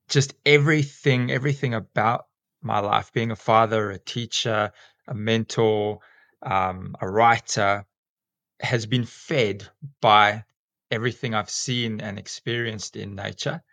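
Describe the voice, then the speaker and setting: A man in his forties with a South African accent, a school teacher talking casually as a podcast guest and often pausing with ums and restarts. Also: on the low side, fairly even intonation, vocal fry, fairly bright tone, no room sound. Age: 40s. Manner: rambling, many ums and restarts.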